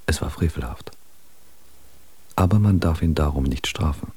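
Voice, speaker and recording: Grave commentator for radio drama: extremely low, dark, sober delivery. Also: male voice, moderate pace, husky, soft background music, full character voice.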